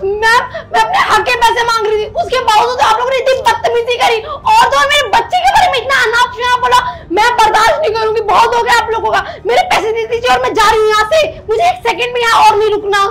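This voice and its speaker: A woman's voice: very high-pitched, fairly quick. A young woman playing a wronged, proud delivery worker in a melodramatic skit, pleading indignantly. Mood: tearful outrage. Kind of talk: melodramatic scripted Hindi skit acting.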